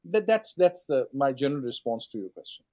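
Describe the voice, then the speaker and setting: A middle-aged man with a Pakistani accent, giving a long measured interview answer as a scholar, with many fillers and restarts. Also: medium pitch, very animated, mellow. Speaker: author and scholar guest.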